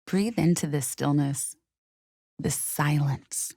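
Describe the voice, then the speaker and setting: A woman guiding a meditation in a soothing, unhurried, softly spoken way with gentle pauses. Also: low voice, gravelly, no room sound, clean studio sound. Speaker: meditation guide. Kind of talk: guided meditation narration.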